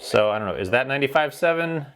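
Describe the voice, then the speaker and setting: A man's voice: medium pitch, expressive, fast talker, a bit bright, soft background music. A man informally walking through a product by hand, explaining it in a plain-spoken, practical way. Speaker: product reviewer. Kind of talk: casual hands-on product demonstration.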